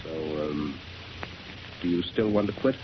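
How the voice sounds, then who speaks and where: Cold, low-voiced villainous boss. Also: male voice, unhurried. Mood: cold threat. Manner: clipped, formal, coolly threatening. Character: cold, menacing shipyard boss, a lunatic villain. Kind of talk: 1930s radio drama acting. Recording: dull old radio recording, faint noise.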